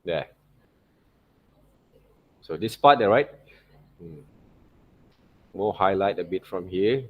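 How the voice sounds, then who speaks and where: Guy teaching a drawing demo off the cuff, lowish voice, halting, keeps repeating himself and checking in. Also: animated, breathy edge, narrow, tinny audio. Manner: halting, repetitive phrasing with frequent "okay, right, see" checks. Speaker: art teacher giving a tutorial. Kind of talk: unscripted step-by-step drawing demonstration.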